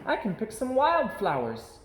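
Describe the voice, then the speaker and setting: Casting: earnest little storybook sister bear acted by a man, high and swooping, cautious, echoey room. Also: wildly swooping pitch, measured pace, exaggerated voice. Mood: lively. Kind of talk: children's picture book read-aloud.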